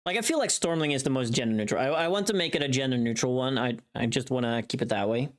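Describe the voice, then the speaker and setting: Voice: mid-range voice, quick pace. A streamer chatting casually on a livestream in a joking, sweary manner. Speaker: streamer making a voice pack.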